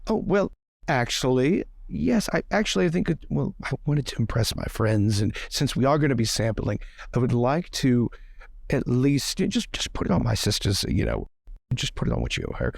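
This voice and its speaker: A man's voice: mid-range voice, very animated, normal speed, gravelly, no echo, clean studio sound. A man playing a breezy, entitled male socialite who rambles and wheedles chattily. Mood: wheedling.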